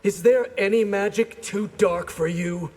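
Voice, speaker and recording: A man's voice: high-pitched, unhurried, darkish tone. A man playing a young, righteous ninja in a video game, with a cheeky, defiant, youthful delivery. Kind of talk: video game fighter acting. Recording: long hall reverb, music behind.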